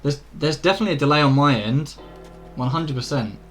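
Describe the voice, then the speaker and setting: A man's voice: a little high, fairly quick, faint music behind. A British man live-streaming a video game, chatting casually to viewers in an informal, rambling way with some mild swearing. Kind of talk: casual chat to viewers during gameplay. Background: faint game ambience.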